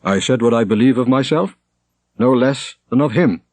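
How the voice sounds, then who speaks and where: Man acting an earnest, clear-voiced young man. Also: mid-range voice, moderate pace, a little mellow, dry recording, pitched above the performer's own voice, natural voice. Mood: steadfast. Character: earnest, vehement young Welshman. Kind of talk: audiobook character acting.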